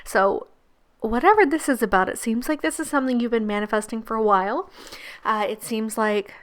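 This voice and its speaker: A woman with a croaky, gravelly voice giving a casual pick-a-card tarot reading, chatty and laughing often. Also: fairly high pitch, fairly quick. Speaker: tarot reader and channel host.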